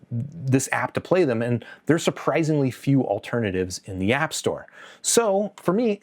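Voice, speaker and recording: Male review host, mid-pitched, casual and conversational, opinionated with a light joking touch. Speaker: host of an audio gear review channel. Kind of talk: casual scripted video review to camera.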